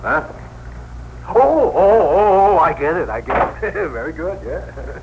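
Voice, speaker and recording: Older cabbie, good-humored and gabby, very animated delivery, vintage radio play with light noise. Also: male voice, on the high side, slow, highs cut off, exaggerated voice. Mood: amused, chatty. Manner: colloquial, blustery, chatty. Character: talkative, good-humored old cab driver. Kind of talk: 1960s radio drama acting. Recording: noisy vintage radio broadcast recording.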